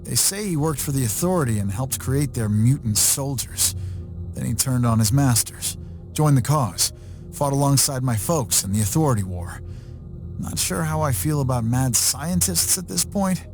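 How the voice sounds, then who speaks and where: A tough young male ranger voiced by an actor speaks in a mid-pitched voice, terse and understated. He has the dry resolve of a video game hero, with loud music underneath. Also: lively intonation, normal speed, natural voice. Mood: wry, uneasy. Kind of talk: video game cutscene acting.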